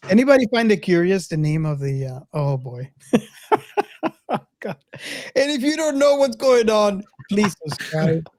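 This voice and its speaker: Podcast host chatting about movies, kinda stammery and hesitant, mid pitch, really dry audio. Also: sing-song, measured pace, a little mellow, dry recording. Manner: hesitant, stammering, repeats words. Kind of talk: casual film discussion.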